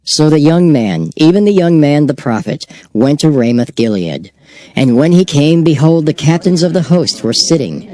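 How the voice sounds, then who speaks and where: A man narrating a dramatized scripture reading in a measured, expressive way. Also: on the high side, no echo. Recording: loud music underneath.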